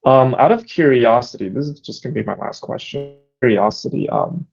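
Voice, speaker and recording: A man's voice: medium pitch, a little fast, creaky, a little mellow. A man attending an online meeting, casually asking questions. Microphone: online meeting call. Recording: dull lo-fi online call audio.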